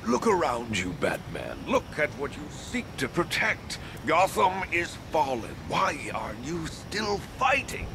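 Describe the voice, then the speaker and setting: An actor playing a fanatical cult preacher villain in a video game, grandiose, theatrical and taunting. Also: male voice, fairly high pitch, wildly swooping pitch, measured pace, exaggerated voice. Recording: clear background noise.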